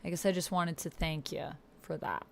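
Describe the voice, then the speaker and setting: A woman voicing a tender, wounded young woman in a roleplay game, quietly and earnestly reading out typed dialogue. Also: sits low, moderate pace, vocal fry, a little hiss or hum. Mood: soft, earnest.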